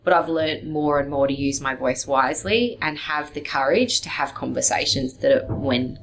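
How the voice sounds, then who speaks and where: Woman presenter, deep voice, emphatic and upbeat, slight background hiss. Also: moderate pace, vocal fry, rough recording. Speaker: guest, branding specialist and broadcast presenter. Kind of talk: motivational interview talk.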